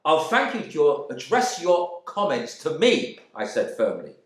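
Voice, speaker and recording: In an echoey room, a male actor plays a stuffy, indignant husband home from the war delivers a dramatic monologue. His is full of pompous, narrow-minded affront, and his pitch swings widely between muttering and outburst. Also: wildly swooping pitch, unhurried. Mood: wounded fury. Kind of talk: dramatic monologue reading from a novel.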